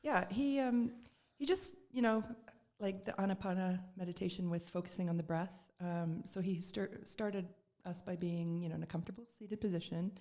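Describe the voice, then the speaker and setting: Middle-aged woman guest, low, dark mellow voice, rambling storyteller. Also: moderate pace. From Canada. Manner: chatty storyteller with many fillers and restarts. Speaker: podcast guest. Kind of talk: casual chat.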